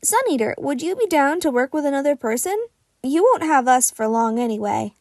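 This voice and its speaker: Playful teenage male hero trainee played by a woman, high voice, friendly, dry storybook narration. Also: expressive, brisk, darkish tone, dry recording. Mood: playfully persuasive. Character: cheerful, cheeky teenage boy hero student.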